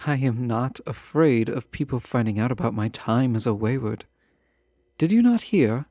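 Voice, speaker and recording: A man playing a refined, guarded yet fond fantasy ruler in a romantic audio roleplay, speaking in formal, measured phrases with teasing asides. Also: medium pitch, animated, warm tone, no room sound, studio quality, close to an everyday voice. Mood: earnest, guarded.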